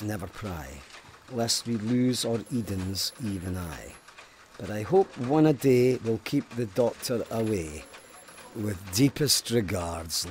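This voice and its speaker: An actor playing a stern, pious Scottish police sergeant in an audio drama, officious, pompous and indignant. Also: male voice, fairly low pitch, a little hiss or hum. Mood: suspicious. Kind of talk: full-cast audio drama acting.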